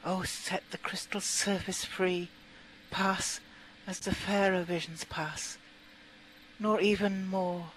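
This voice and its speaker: A woman recites poetry at a slow pace. Her delivery is measured and dramatic, in a mid-range voice. A faint layer of noise sits behind her. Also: mid-range voice, flat delivery, fairly bright tone, rough recording. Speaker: poetry reader.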